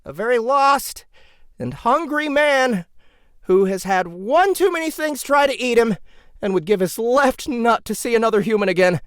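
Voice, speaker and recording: A man's voice: extremely high pitch, animated, moderate pace, a bit bright, clean tone, dry recording, clean studio sound, pitched above the performer's own voice. A man voicing a lost, hungry, wisecracking adventurer in a fantasy audiobook. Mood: wry shouting. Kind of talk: audiobook character acting.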